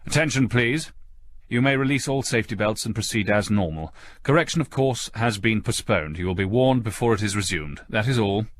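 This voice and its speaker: A man's voice: on the low side, lively intonation, brisk, no echo, natural voice. A man playing a stern, irritable spaceship captain with a dry, curt, sarcastic manner, in a sci-fi story reading. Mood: formal, commanding.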